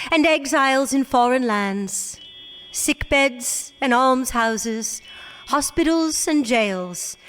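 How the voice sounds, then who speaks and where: Woman narrator for a radio play, high voice, theatrical and wry, echoey hall. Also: clean tone. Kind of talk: storytelling narration in a radio drama. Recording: reverberant hall.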